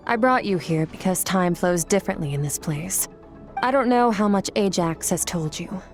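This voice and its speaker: Low-voiced, aloof warrior woman. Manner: cool, detached, matter-of-fact. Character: cold, aloof young woman warrior, blunt and businesslike mentor. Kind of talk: scripted video game voice acting. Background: game music.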